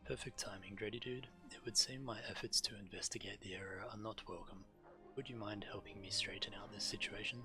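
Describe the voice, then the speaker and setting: A man with a fairly low voice reads game quest text aloud as a streamer. Clear music plays behind him as he narrates. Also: steady tone, brisk, bright, forceful tone.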